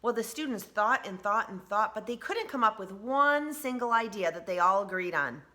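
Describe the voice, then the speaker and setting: A woman's voice: mid-range voice, lively intonation, moderate pace, pushed and bright. A woman teacher reading a story to young children, with simple, encouraging asides addressed to them. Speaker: elementary school teacher. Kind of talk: children's read-aloud with explanatory asides.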